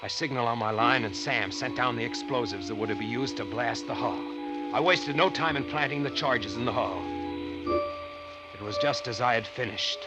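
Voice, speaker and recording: An actor playing an earnest treasure-hunting diver who tells his eerie adventure with dramatic, deliberate 1940s radio suspense delivery. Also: mid-range voice, lightly acted, low-quality recording. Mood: grave, suspenseful. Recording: old radio broadcast with loud music.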